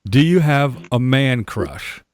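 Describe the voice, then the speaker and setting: A man's voice: medium pitch, animated, slow, clean tone. A middle-aged man hosting a casual interview, playful and joking. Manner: playful, joking, laughs easily. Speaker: podcast host.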